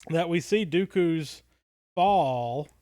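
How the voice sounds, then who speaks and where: A man chats analytically as a podcast co-host in a voice a little higher than average. His delivery is halting, repeating words as he builds a point. The recording is very dry and free of echo. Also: animated, dark tone. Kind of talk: casual analytical discussion.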